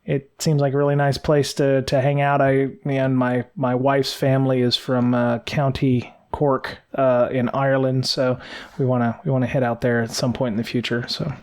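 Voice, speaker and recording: A man's voice: mid-range voice, flat delivery, normal speed, darkish tone. An American man co-hosting a podcast in casual conversation, talking in a hesitant, rambling way. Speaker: podcast co-host.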